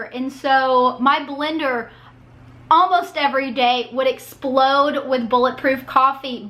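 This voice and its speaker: A young woman, talking in a high, bright voice that sounds hoarse and a little nasal from a cold. She chats casually and pokes fun at herself as a YouTuber reacting to her old video. Her tone is clear and forward. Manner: self-mocking, chatty.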